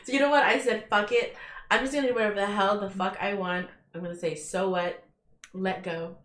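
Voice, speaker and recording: A woman's voice: medium pitch, steady tone, a little fast, a bit bright, echoey room. A woman in her thirties co-hosting a casual podcast chat with friends. She is blunt and teasing and swears freely. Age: 30s. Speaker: podcast co-host.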